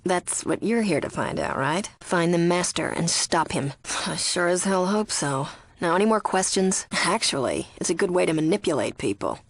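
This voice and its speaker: An actress playing a wary, sardonic young spy undercover in a cult, speaking conversationally as a video game character. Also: female voice, on the low side, expressive, normal speed, dry recording. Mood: earnest, conspiratorial.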